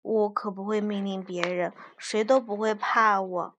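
A woman's voice: fairly high pitch, normal speed, muffled recording. A woman voicing a small boy in a storybook, sulky and muttering. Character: small glum questioning boy.

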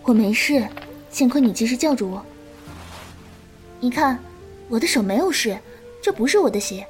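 A woman playing a calm, principled, protective elder-sister swordswoman in a martial-arts audio drama. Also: on the high side, moderate pace, faint music behind, close to an everyday voice. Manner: composed, coaxing, righteous lecturing.

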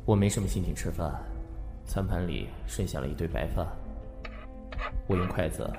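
An actor playing a lively young man who loves diving and also narrates in a Chinese audio drama. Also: deep voice, moderate pace, over loud music. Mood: subdued narration.

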